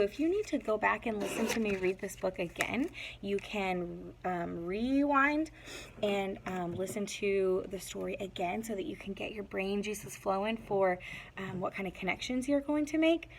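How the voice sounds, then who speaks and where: A woman's voice: mid-range voice, a little slow, vocal fry, a little hiss or hum. A woman teacher doing a read-aloud lesson for young children, speaking in simple, instructive phrases. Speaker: teacher reading a picture book aloud to young pupils.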